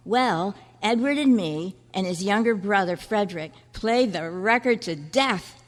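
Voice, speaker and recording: A woman's voice: mid-range voice, animated, measured pace, natural voice. A woman playing an earnest, chatty fourth-grade boy in an old-time radio style performance. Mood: nostalgic, eager. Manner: chatty, boyish slang. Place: large indoor room at a convention. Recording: echoey hall at a club meeting.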